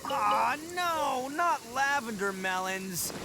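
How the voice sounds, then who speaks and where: Brash, hammy young oni braggart. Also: male voice, extremely high pitch, lively intonation, takes it slowly, gravelly, over music, exaggerated voice. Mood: dramatic, undaunted. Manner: boastful, slangy, hammy. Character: brash, boastful young male oni, goofy self-styled expert. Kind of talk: English video game voice acting.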